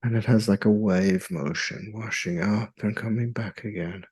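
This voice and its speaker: A man leading a guided meditation in a soothing, hypnotic, measured way with long pauses. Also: lowish voice, fairly even intonation, darkish tone, breathy edge.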